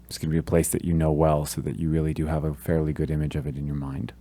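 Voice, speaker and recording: A man leading a guided meditation, giving gentle, calm instructions with long pauses between phrases. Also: a bass voice.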